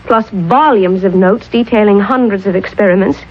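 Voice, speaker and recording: A woman's voice: medium pitch, moderate pace, close to an everyday voice. An actress playing an earnest, headstrong woman scientist in a radio drama, urgent and emphatic. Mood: insistent reasoning. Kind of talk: 1970s radio drama acting. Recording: dull old radio broadcast recording.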